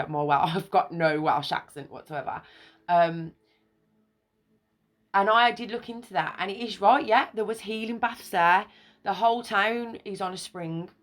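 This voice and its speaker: A woman reading an old newspaper article aloud, stumbling over archaic words and place names, then commenting on it with excited emphasis. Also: mid-range voice. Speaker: video creator.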